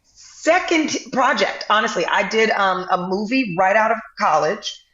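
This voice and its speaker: A woman in her forties, a guest on a talk podcast, unspools her memories in a loose, meandering way. She pads her sentences with plenty of ums. Her voice sits at a middling pitch and sounds roomy and a little muffled, as if recorded remotely. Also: measured pace. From US Midwest. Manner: relaxed, rambling storyteller with many fillers. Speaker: guest actor. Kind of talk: casual podcast interview.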